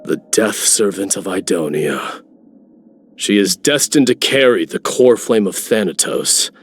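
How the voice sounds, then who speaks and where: The deep, grave warrior prince of a fantasy game, with faint noise behind him. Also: male voice, animated, unhurried. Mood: solemn. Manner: grave, formal. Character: stern, proud male warrior, solemn.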